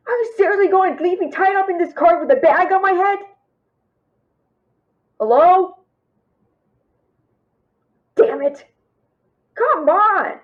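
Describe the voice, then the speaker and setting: Woman acting a high-pitched, nervous young female thief. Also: dull audio. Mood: indignant, frantic. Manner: nervous, stammering, fast-talking bluffer. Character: cheeky, scared young female thief, talking her way out. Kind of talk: audio roleplay acting, fantasy.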